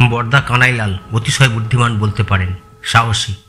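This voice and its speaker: A man's voice: mid-range voice, steady tone, moderate pace, bright, forceful tone, soft background music, close to an everyday voice. Man playing a guarded, deferential family retainer. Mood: reflective, cautious. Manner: polite, hesitant, guarded answers. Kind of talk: audio story dramatised dialogue.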